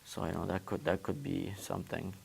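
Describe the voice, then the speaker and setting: A young man from Uzbekistan speaks English, his fourth language, in a low, gravelly voice. His delivery stays flat and unhurried, with frequent restarts and repeated words. He is a guest answering questions on a local show. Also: a little hiss or hum. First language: Turkish. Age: 20s. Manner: halting, repeats words while searching for phrasing. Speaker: guest, former student athlete. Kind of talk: casual interview answers.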